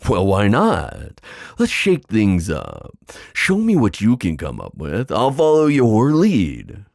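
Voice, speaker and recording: A man's voice: fairly low pitch, huge pitch swings, gravelly, a little mellow, dry recording, studio quality, heavily acted. A man playing a flirtatious, confident giant sea god in an ASMR roleplay, teasing and theatrical in his delivery. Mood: playful, teasing. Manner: teasing, theatrical, drawn-out phrasing.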